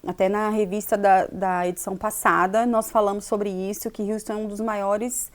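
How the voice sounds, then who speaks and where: A woman's voice: medium pitch, flat delivery, normal speed. A woman hosting a casual interview, chatty and often ending her remarks with tag questions. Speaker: interviewer, magazine host.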